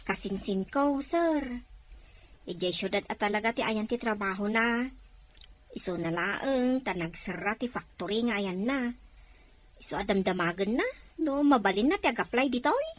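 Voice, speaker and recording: A woman's voice: medium pitch, animated, moderate pace, natural voice. A woman playing a respectful, eager farm employee in a dramatized audio story. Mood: respectful, pleading.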